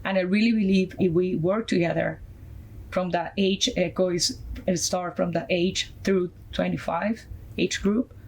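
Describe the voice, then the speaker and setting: Low-voiced woman with Colombian accent. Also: steady tone, creaky, darkish tone, slight background noise, poor audio. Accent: Colombian Spanish. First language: Spanish. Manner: earnest, halting, many fillers. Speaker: guest, nonprofit founder. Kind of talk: podcast interview, personal story.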